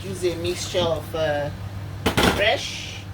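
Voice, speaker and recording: A woman's voice: on the low side, takes it slowly, heavy background noise. A Nigerian woman with a Nigerian accent talking viewers step by step through cooking a soup in her home kitchen, chatty and full of fillers. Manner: chatty, addresses viewers as guys, many fillers. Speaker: cooking channel host.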